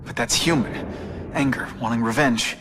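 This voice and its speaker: A man's voice: medium pitch, normal speed, background noise, close to an everyday voice. An actor playing a young male superhero in a video game, earnest and reproving with dry wisecracks. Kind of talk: video game hero voice acting.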